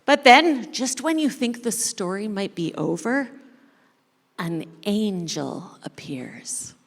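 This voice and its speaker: A woman giving a playful, dramatic live narration of a story, pausing deliberately as she leads an interactive reading. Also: medium pitch, animated. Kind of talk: theatrical live scripted narration of a parable. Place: large indoor church space before an audience. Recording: echoey hall with long reverb.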